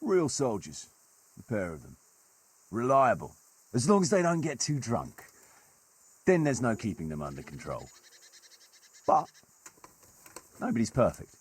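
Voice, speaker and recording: Husky, wry medieval mercenary lord. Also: male voice, medium pitch, wildly swooping pitch, measured pace, long pauses, full character voice. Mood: wry, admiring. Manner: colloquial, archaic turns of phrase, wry. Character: gruff, wry medieval nobleman turned mercenary leader. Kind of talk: dramatic storytelling in a video game cutscene.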